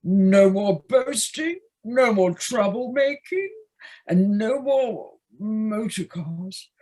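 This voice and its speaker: A woman playing a proud, foolish male toad in an audio play, grandiose and melodramatic, with a husky voice. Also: mid-range voice, animated, slow, warm tone. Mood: grandiose, repentant.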